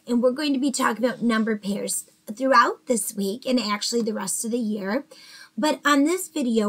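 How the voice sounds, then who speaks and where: A woman in her forties with a fairly high voice, teaching a math lesson to small children. She speaks gently and encouragingly, checking in with the kids through questions. She is recorded from her bedroom on a laptop webcam. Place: bedroom at home, indoors.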